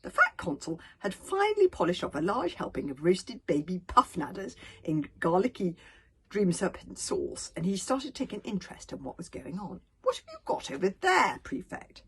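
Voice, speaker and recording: A woman reading a children's story aloud with animated expression, now and then stumbling over words. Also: fairly low pitch, normal speed, breathy edge. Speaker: author reading own book.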